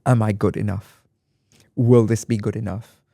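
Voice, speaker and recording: A man's voice: on the low side, steady tone, normal speed, vocal fry, dark tone, no room sound, studio quality. A man from Dubai, guest on an interview podcast, speaking reflectively and with emphasis, often repeating phrases. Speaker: guest artist. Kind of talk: conversational interview.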